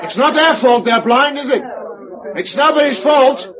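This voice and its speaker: Accusing British male agitator, colloquial, very high-pitched; dull radio drama sound, crowd around. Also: animated, moderate pace. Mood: indignant, accusing. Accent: British English. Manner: haranguing, sarcastic, colloquial. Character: angry, accusing working-class male agitator at the gates. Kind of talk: vintage radio drama acting. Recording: muffled old radio recording, crowd behind.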